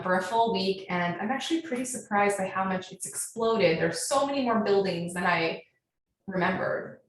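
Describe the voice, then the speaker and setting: Casual American female guest speaker, animated and chatty, mid-pitched, very reverberant recording with faint noise. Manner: animated storytelling with frequent "like". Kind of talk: casual question-and-answer chat. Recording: very echoey, distant, faint noise.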